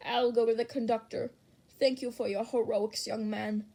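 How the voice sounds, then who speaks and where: Woman doing kind old lady, polite, soft mellow voice, storybook character. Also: on the high side, fairly even intonation, moderate pace. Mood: kind, grateful. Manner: kindly, polite. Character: kindly, grateful elderly lady train passenger.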